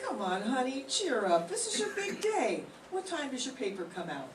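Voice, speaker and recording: Brief: older woman as a dry-witted roommate-narrator; animated pitch; far, roomy sound. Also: normal speed, faint music behind. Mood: sardonic. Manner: dry, sardonic asides. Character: wisecracking practical roommate who also narrates. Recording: roomy classroom, far from mic, faint hiss.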